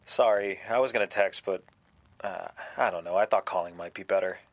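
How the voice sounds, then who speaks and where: A man playing an awkward, apologetic young friend on the phone in an audio drama, speaking in a halting, hesitant way. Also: sits low, no room sound, muffled recording. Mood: awkward, casual.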